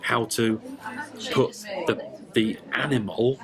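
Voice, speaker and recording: A man explaining a tarot and numerology reading one-to-one, speaking deliberately and emphatically with pauses. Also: mid-range voice, steady tone, a crowd in the background.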